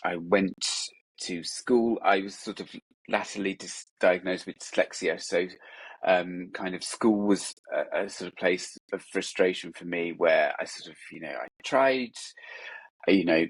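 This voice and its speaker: A deep, husky man's voice with a dry, close sound. He answers interview questions slowly and loosely, leaning on "sort of" and "kind of" as he goes along over a video call. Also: dry recording, low-quality recording. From England. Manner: hesitant, many fillers and "sort of". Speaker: guest author and illustrator.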